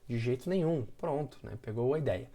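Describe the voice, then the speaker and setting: A man's voice: medium pitch, expressive, a little fast, warm tone. A man teaching an English lesson in a video, explaining patiently and often checking in with short tag questions.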